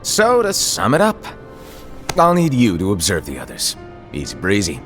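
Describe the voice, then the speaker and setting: An actor playing a breezy, flippant master of a fantasy order in a scripted game scene. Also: male voice, fairly low pitch, wildly swooping pitch, moderate pace, a bit bright, faint music behind. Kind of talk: scripted game cinematic acting.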